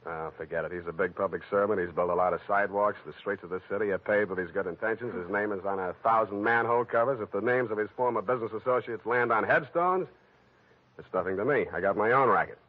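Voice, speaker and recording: Man acting hard-boiled American detective, lowish voice, dry, cynical, 1940s radio drama, phone-like old recording. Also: fast, lightly acted. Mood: sardonic. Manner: dry, sardonic, offhand. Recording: noisy old radio, tinny narrow band.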